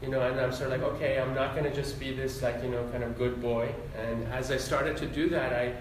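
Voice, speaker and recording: A man born in Iran and raised in Ohio, with a Midwestern accent, giving a relaxed, rambling interview answer full of fillers. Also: mid-range voice, fairly quick, slight background noise. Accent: Midwestern American. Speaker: interview guest, doctor and psychologist. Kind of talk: casual conversational interview. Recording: very echoey room, mic at a distance.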